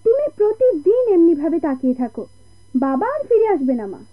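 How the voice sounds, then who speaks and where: An actress playing an innocent, worried young child in a radio drama, questioning plaintively. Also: female voice, very high voice, big pitch swings, normal speed. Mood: sad, questioning. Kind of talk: Bengali radio drama acting. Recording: tinny old radio, music behind.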